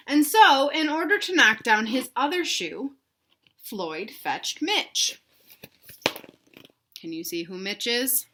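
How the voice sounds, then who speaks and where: A woman reading a children's storybook aloud in an animated, emphatic way, pausing to put questions to young listeners. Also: sits high. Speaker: read-aloud host for children.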